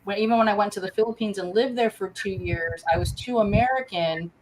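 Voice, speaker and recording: A woman's voice: mid-range voice, brisk, a little mellow, poor audio. Woman teacher, panel guest, earnest storyteller, faint noise behind her on the call. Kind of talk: casual panel discussion on a video call.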